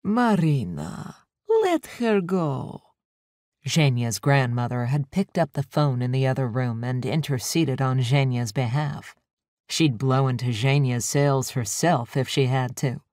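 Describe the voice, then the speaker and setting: A woman reading an audiobook in an even, measured storytelling manner. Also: extremely low pitch, dry recording. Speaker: audiobook narrator. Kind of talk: scripted narration. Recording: dry studio audiobook recording.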